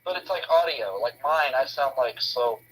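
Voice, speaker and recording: A young man co-hosting a casual wrestling talk show, excitable, swearing freely and acting out moves. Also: medium pitch, moderate pace, a little hiss or hum, low-quality recording. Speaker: co-host. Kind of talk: casual wrestling podcast chat.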